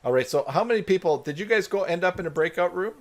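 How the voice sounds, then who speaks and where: A man's voice: high-pitched, animated, fast, over a noisy crowd. A man hosting a live stream, chatting informally and jokingly with a group on a video call.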